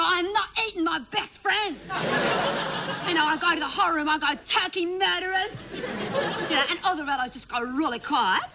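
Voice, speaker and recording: A woman's voice: high voice, very noisy. A woman playing a naive, chatty, indignant schoolgirl in a comedy monologue, running on breathlessly and mimicking her family's words. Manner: breathless run-on gossip with mimicked family quotes.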